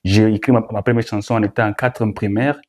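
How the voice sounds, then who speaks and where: Mellow, lowish young Congolese man. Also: fairly even intonation, quick pace. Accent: Congolese French. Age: young adult. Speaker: guest, gospel musician. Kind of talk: interview answers.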